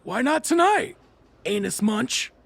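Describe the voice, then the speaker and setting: A man playing a cocky, foul-mouthed, taunting bully in an audiobook, sneering and jeering in a raspy voice. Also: very high voice, big pitch swings, takes it slowly, no echo, exaggerated voice. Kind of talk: audiobook character acting.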